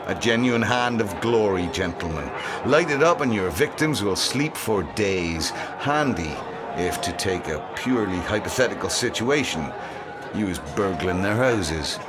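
Man playing a sly low-voiced hangman peddler. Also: lively intonation, unhurried, heavy background noise. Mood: sly, wheedling. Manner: theatrical salesman's patter. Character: sly, smooth-talking hangman peddling grisly magic talisman.

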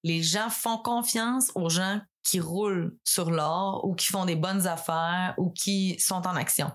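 A woman in her thirties with a Québécois French accent hosts a business talk. She asks direct questions and drops quick joking asides. Her voice sits slightly low. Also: steady tone. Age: 30s. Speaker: podcast host. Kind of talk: casual interview conversation.

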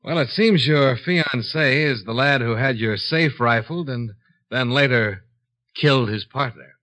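An actor playing a shrewd, unhurried insurance investigator in a 1950s radio drama, with a dry, matter-of-fact delivery. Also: male voice, medium pitch, fairly bright tone, lightly acted. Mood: dry, unruffled. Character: cool, shrewd male insurance investigator, hard-boiled and unhurried. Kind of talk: 1950s radio detective acting. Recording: dull 1950s radio broadcast recording.

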